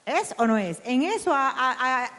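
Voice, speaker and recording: A woman's voice: sits high, sing-song, moderate pace, pushed and bright. A woman giving blunt, opinionated views on a panel. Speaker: dubbing panelist. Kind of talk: panel discussion. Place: large event hall. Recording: long hall reverb.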